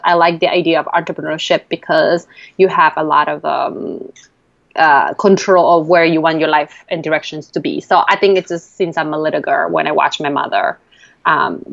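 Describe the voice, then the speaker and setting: A woman from Argentina answering interview questions conversationally in hesitant, halting phrases. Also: deep voice, steady tone, creaky, fairly bright tone, dry recording, lo-fi sound. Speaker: entrepreneur guest.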